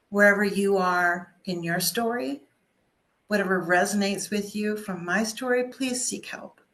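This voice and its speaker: A woman with a medium-pitched voice tells a scripted, personal story as a speaker and coach. She takes her time, pausing deliberately for emphasis. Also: fairly bright tone.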